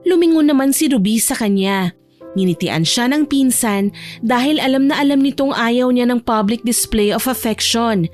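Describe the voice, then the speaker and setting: A woman with a fairly high voice narrates a scripted romance story, audiobook style. Soft music runs behind her narration. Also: normal speed.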